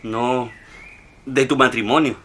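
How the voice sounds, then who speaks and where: A man's voice: medium pitch, steady tone, slow pace, fairly bright tone. An actor playing a timid, earnest, henpecked middle-aged father in a radio drama. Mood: hesitant.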